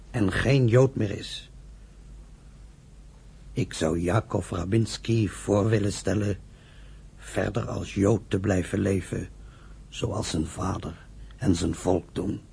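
A stern patriarch of a radio play, devout and solemn. His voice is low and very husky, and he admonishes in slow, measured phrases. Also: dark tone, exaggerated voice. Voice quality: husky, gravelly. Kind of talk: radio drama acting.

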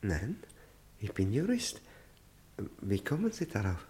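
A man's voice: on the low side, huge pitch swings, unhurried, warm tone, breathy edge, heavily acted. A man acting a courteous, nervous former prisoner in a radio play, speaking hesitantly and reflectively with formal politeness. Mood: confused, subdued. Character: haunted Austrian lawyer, former Gestapo prisoner, courteous and nervous. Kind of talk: German radio play acting, confiding narration.

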